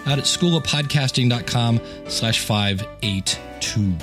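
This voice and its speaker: A man's voice: mid-range voice, unhurried, over loud music. A man presenting a podcast as host in a conversational style.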